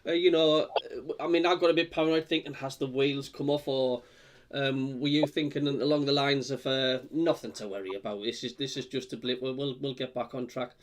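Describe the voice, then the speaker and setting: A man's voice: medium pitch, steady tone, brisk. A man with a Sunderland accent hosting a casual fan chat show, reading out viewer messages, with a tickly cough. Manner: chatty, reads out viewer messages with fillers. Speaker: podcast host.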